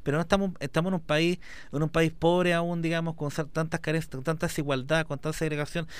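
A man's voice: on the high side, level tone, quick pace, clean tone, dry recording. A man, an artist guest in an interview, talking passionately and rambling with many fillers. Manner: rambling, fills with "digamos", passionate. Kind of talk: conversational interview.

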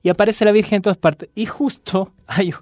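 Impassioned Chilean man, fairly high voice. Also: brisk, a little mellow, dry recording, clean studio sound. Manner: impassioned, repetitive cadence when reading. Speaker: radio guest discussing a novel. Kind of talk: dramatic reading then casual talk. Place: university radio studio.

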